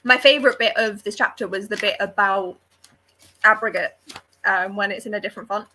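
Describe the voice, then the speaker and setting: A woman's voice: medium pitch, fairly even intonation, fairly quick, bright, forceful tone. A woman chatting casually and animatedly about books as a panellist, opinionated and informal. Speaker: book club readalong panellist. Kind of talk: casual book discussion chat.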